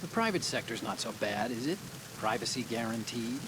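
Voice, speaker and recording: A man's voice: mid-range voice, expressive, fairly quick, background noise, natural voice. An actor playing an earnest young male engineer giving mission briefings over a video game radio call, explaining things at length.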